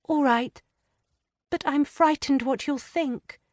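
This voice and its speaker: A woman voicing an anxious, tearful young wife in an audiobook, pleading and stammering in a high, breathless voice. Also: slow, a little mellow, dry recording, studio quality. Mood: distressed. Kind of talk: audiobook character voice.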